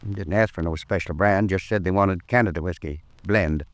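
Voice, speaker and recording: An actor playing a shaken, folksy liquor store owner in a 1950s radio drama, rambling in clipped phrases on a noisy old recording. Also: male voice, sits low, moderate pace, no room sound, natural voice. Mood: recounting.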